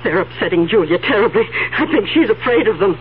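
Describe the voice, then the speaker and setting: A woman's voice: medium pitch, expressive, fairly quick, a little hiss or hum, close to an everyday voice. An actress playing an indignant, confused old woman living alone, fussy and flustered, in a radio drama. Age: older. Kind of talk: 1970s radio drama acting. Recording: muffled old radio broadcast with music.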